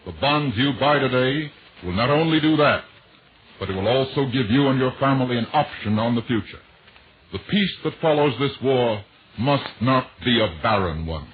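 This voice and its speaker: A man's voice: mid-range voice, animated, normal speed. A man making an earnest, emphatic scripted appeal in a 1940s radio style. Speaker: radio announcer making a war bond appeal. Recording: tinny, noisy vintage 1940s radio.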